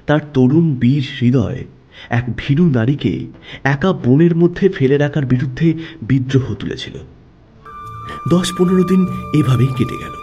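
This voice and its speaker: A man narrating a literary audio story in a dramatic, expressive style. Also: on the high side, slightly rough, muffled recording. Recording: music under the voice.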